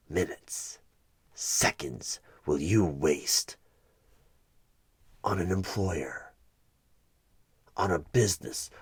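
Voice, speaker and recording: Evil demon recruiter for horror asmr, super low raspy growl n whispers, menacing and manipulative. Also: male voice, full character voice. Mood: scornful, menacing. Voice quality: husky, gravelly growl breaking into breathy whispers. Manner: menacing, slow, deliberate, manipulative. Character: sinister male demonic tempter offering a corrupt deal. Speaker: job interviewer. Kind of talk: one-sided horror ASMR audio roleplay.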